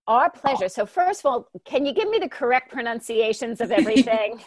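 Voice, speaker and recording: A woman's voice: on the high side, brisk, no echo. A woman hosting a podcast, introducing her guest in a chatty way and correcting herself as she goes. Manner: chatty, self-correcting, stumbles over names. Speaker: podcast host.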